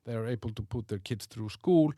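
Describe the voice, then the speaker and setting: A man's voice: medium pitch, a little fast, mellow, no room sound, clean studio sound. A man from Iceland, hosting a podcast, who argues in a hesitant, reasoned way and often repeats words. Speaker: podcast host. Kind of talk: casual debate chat.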